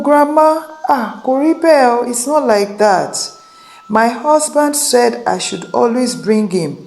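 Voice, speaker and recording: A woman's voice: mid-range voice, darkish tone, pitched above the performer's own voice, poor audio. A woman voicing a kind, reassuring older foster mother in a folktale. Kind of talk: acted folktale dialogue.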